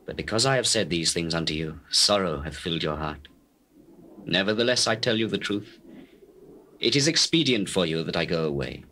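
A grave male teacher speaking to his followers in a dramatized scripture recording. His voice is fairly low, with a bright, forceful tone. The delivery is measured and declamatory, in solemn archaic English. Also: animated, slight background noise, heavily acted, rough recording. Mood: consoling, grave. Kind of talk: dramatized King James scripture reading.

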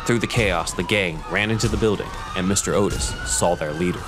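A male narrator reads a scripted audio drama. His voice is very deep, and music plays clearly underneath. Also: fairly quick.

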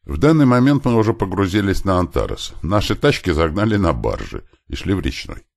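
A man's voice: low-pitched, very animated, brisk, gravelly. A man reading a fiction audiobook, narrating a scripted first-person story with lightly voiced dialogue.

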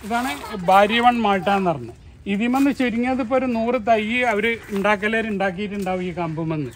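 A man from Kerala giving a casual tour of his plant nursery, talking in an animated, argumentative way and asking rhetorical questions. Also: high voice, a little fast, a little hiss or hum. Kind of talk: casual garden tour talk.

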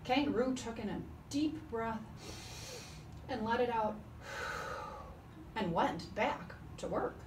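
A woman narrating a folk tale expressively and deliberately, with drawn-out phrases. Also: a little high, background noise. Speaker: storyteller. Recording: echoey room, distant microphone. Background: live cello playing.

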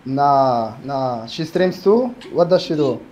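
A man's voice: medium pitch, animated, slow, a little mellow, a little hiss or hum. A young man chatting as a speed date contestant, laid-back and hesitant, with many fillers. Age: young adult. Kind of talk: casual chat. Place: indoor room. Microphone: headset on a video call.

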